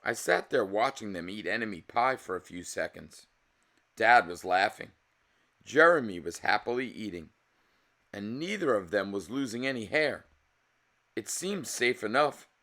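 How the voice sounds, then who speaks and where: A man reading a children's picture book aloud as a storyteller, with animated, emphatic delivery. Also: lowish voice. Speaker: read-aloud storyteller and host.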